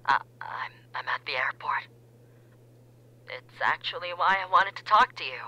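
An actor playing a remorseful young ex-boyfriend on a call, speaking softly and hesitantly, sometimes in a near whisper. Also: male voice, a little high, moderate pace, dry recording, muffled recording.

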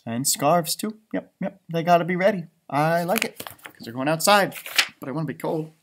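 An American man in his thirties with a playful, expressive, theatrical manner, reading and chatting for young children. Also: a little high, moderate pace, background noise. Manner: playful, expressive, theatrical, with sudden loud and hushed turns. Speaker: elementary school storybook reader, teacher. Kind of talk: animated children's read-aloud with chatty asides. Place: room with bookshelves, indoors.

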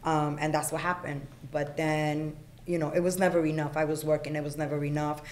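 Low-voiced woman telling her life story. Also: level tone, fairly quick, darkish tone, roomy sound. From Puerto Rico. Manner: frank, plainspoken, many ums and you-knows. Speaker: podcast guest. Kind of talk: candid life story in conversation. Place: small studio room with acoustic panels. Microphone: desk boom mic.

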